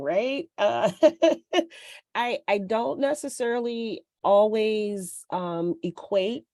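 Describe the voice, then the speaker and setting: A woman hosting a webinar panel, asking questions in an unhurried, informal, conversational way. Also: on the low side, mellow, dry recording, clean studio sound. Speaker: webinar host and moderator.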